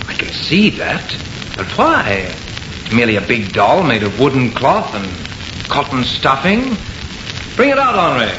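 An actor playing a confident, scoffing artist in an old-time radio horror play, with a brisk, assured, theatrical manner. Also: male voice, mid-range voice, sing-song. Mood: brisk, impatient. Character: confident, scoffing male artist, enthusiastic and unafraid. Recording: muffled, echoey old radio recording, heavy noise.

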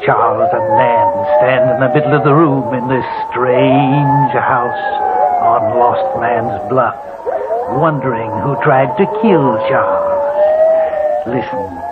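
Cackling, slow old hermit storyteller. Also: male voice, mid-range voice, huge pitch swings, over loud music. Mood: ominous. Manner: menacing, slow, theatrical. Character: ghoulish old hermit telling horror tales with relish. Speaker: horror show narrator. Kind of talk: 1940s radio horror narration. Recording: scratchy, noisy, tinny old radio recording.

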